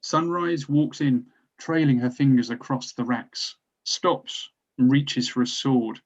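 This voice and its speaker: Mid-pitched male narrator, slightly dull sound. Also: takes it slowly. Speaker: narrator reading stage directions. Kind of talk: scripted narration at a table read.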